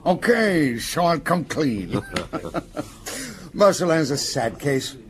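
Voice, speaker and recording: A man's voice: fairly high pitch, very animated, takes it slowly. A man playing a jovial, evasive village doctor in a radio drama, wry and hesitant in manner. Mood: evasive, amused. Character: jovial, evasive, fond-hearted village doctor, a likeable scoundrel.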